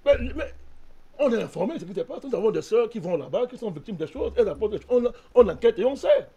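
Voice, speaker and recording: A man's voice: sits high, expressive, fast, mellow, slightly rough, dull audio. A man from Cameroon, a panelist in a heated political debate, arguing insistently and keeps speaking to the host by name. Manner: insistent, argumentative, repeats a direct address to the host.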